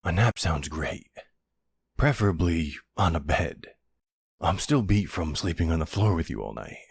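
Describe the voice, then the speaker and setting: A very low, husky male voice acted by a man plays an affectionate boyfriend in an audio roleplay. He talks slowly and hesitantly, with nervous tenderness and a teasing edge. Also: animated, no echo, studio quality, exaggerated voice. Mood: tender, tired. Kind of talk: intimate audio roleplay acting.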